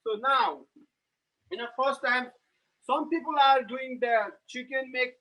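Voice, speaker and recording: A man from Nepal with a Nepali accent, giving a live cooking demonstration and narrating each step in halting, plain English. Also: extremely high pitch, fairly even intonation, very noisy. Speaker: home cook giving a cooking demo.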